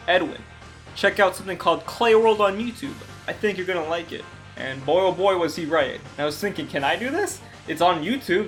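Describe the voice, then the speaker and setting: High-voiced young Canadian man, chatty. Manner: energetic, jokey, quick asides. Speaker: stop-motion animator and channel host. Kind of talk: casual Q&A vlog. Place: bedroom, on a bed. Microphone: handheld recorder.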